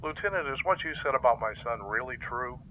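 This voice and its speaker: A man playing a stern middle-aged fleet commodore and father, gruff and commanding, speaking over faint noise. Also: medium pitch, expressive, a little fast, natural voice. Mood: curious.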